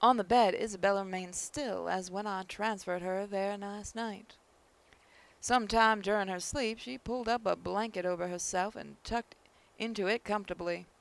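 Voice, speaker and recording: A woman reading visual novel narration aloud in a measured storytelling manner, with an occasional stumble. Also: mid-range voice, fairly even intonation, gravelly, low-quality recording. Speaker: narrator, video game player reading aloud.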